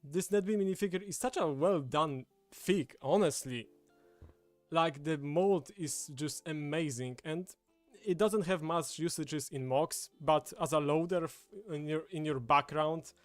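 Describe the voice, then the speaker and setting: A man's voice: fairly high pitch, unhurried, dry recording. A man from Poland, a native Polish speaker with a Polish accent, chatting casually as he hosts a livestream. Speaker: livestream host. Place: indoors at a table, streaming setup. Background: background music.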